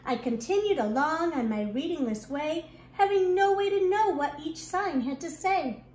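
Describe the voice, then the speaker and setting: Woman, kindergarten teacher, high voice, expressive picture-book reading, echoey room. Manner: expressive, deliberate storytelling delivery. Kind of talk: reading a rhyming picture book aloud to young children. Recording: echoey room with clear background noise.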